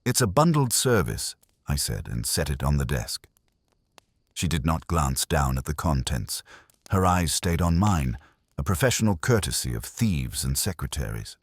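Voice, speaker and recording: Male narrator for hard-boiled detective fiction: very low, lively pitch movement, wry delivery. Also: moderate pace, slightly rough, dry recording. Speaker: audiobook narrator. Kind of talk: scripted first-person detective story narration.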